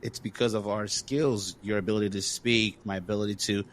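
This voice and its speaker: A man's voice: fairly low pitch, fairly even intonation, fairly quick, a little hiss or hum. A man from Congo hosting a podcast, talking earnestly and encouragingly in casual conversation, with lots of fillers. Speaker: podcast host.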